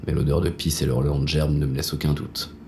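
An actor playing a bitter, world-weary police inspector in a noir audio drama, gruff and slangy as he narrates his own story. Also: level tone, fast talker, a little mellow, a little hiss or hum. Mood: grim, sardonic. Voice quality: very deep, gravelly. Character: jaded, hard-boiled male police inspector, bitter and shaken.

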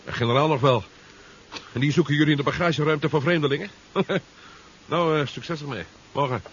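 An actor playing a bold, wry adventurer in a casual radio drama style of the 1970s. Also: male voice, fairly high pitch, fairly quick, natural voice. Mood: cool, cheeky. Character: bold, wry adventurer hero, adult man. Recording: dull, noisy 1970s radio recording.

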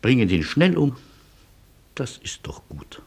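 A man playing an ironic, resigned Polish refugee who argues in a measured, philosophical way in a German radio play. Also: medium pitch, very animated, creaky, a little mellow, slightly rough, heavily acted. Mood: bitter irony. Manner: measured, argumentative, wry.

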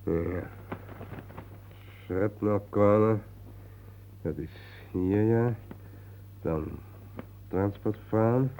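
A male actor in a Dutch radio play, playing a calm, enigmatic guide haunted by war, speaking in a measured, patient, cryptic way. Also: lowish voice. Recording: noisy, thin phone-like old radio recording.

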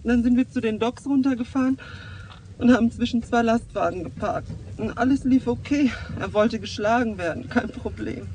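A very high, effeminate voice plays a young transvestite streetwalker in a radio play. The figure sounds shaken and vulnerable, plaintive in every line, over faint traffic noise. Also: male voice, moderate pace, a little mellow, rough recording. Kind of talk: German radio drama acting.

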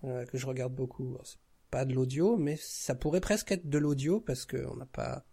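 A man's voice: medium pitch, normal speed, gravelly, mellow, breathy edge. A man talking casually as a podcast guest, hesitating as he searches for words. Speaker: podcast guest, audio fiction creator. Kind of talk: casual one-on-one chat.

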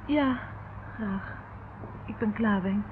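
A woman's voice: medium pitch, slow pace, natural voice. An actress playing a polite but questioning young woman held captive, in an old radio drama. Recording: muffled, noisy old radio recording.

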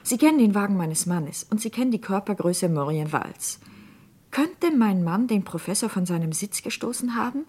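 An actress playing an anguished, probing doctor's wife in a German radio crime drama, speaking in a measured way. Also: female voice, medium pitch, expressive, a little mellow, slightly rough. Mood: anxious, earnest.

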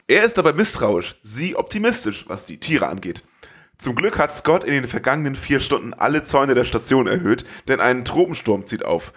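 Brief: male podcast co-host, mid-pitched, scripted movie retelling with playful asides. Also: a bit bright. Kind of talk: scripted film recap narration with playful asides.

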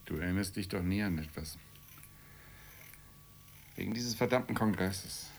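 Radio play husband: grumbling middle-class journalist, very low-pitched and terse, faint noise behind. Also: male voice, moderate pace, creaky. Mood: irritated, weary. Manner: terse, morose. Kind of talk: radio play dialogue acting.

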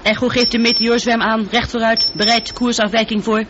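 A woman's voice: on the high side, little pitch movement, moderate pace, natural voice. An actress playing a witty, caring female ship's computer in a radio play, precise and composed in delivery. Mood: precise, businesslike. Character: female spaceship computer, calm, witty, caring, easily hurt. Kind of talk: scripted radio play acting. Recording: dull old radio recording, faint noise.

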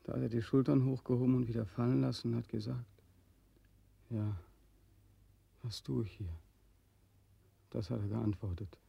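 A man's voice: medium pitch, fairly even intonation, normal speed, creaky, long pauses, warm tone, husky. A man acting a haunted, despairing returned soldier in a 1940s German radio play, brooding and intense, swinging between whispers and outcries. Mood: bitter, numb.

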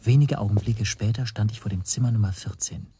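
An actor playing an anxious, self-doubting man who narrates his own story in a breathy, brooding hush. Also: lowish voice, a little fast, noisy background, lightly acted. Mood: tense hesitation. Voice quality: husky, breathy, often near whisper. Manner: brooding, introspective, hushed. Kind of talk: first-person radio play narration.

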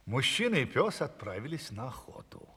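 A man narrating a dramatized fairy tale in a theatrical, drawn-out way, in a reverberant space. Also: mid-range voice, big pitch swings, pushed and bright, slightly rough. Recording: long reverberant hall.

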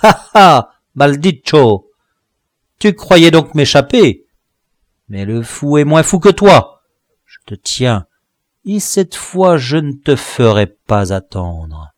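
A man reading an audiobook voices a vengeful male dwarf jester in a high, loud, strained voice. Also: big pitch swings, takes it slowly, no echo, clean studio sound. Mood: snarling vengeful. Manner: shrill snarling threats, later high wailing pleas. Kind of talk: audiobook character voice.

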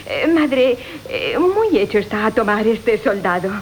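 A high, coquettish young Castilian woman in classic radio theatre. She is grasping under a coy, falsely modest manner. Faint noise sits behind her on the old broadcast. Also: big pitch swings, a little slow. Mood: teasing. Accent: Castilian Spanish. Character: coquettish greedy young woman, feigning modesty while begging gifts. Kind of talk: 1980 Spanish radio theatre acting of classic farce.

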